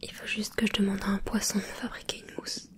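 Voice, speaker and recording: A woman voicing a gentle, caring mermaid in an ASMR roleplay, whispering softly and reassuringly with a curious, playful touch. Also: mid-range voice, little pitch movement, lightly acted. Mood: soothing whisper. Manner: soft whispering, slow and soothing. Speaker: ASMR creator.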